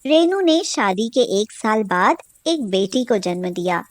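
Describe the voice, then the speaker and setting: A woman's voice: mid-range voice, big pitch swings, measured pace, no echo, studio quality. A woman reading a scripted story as a storyteller. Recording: music behind the voice.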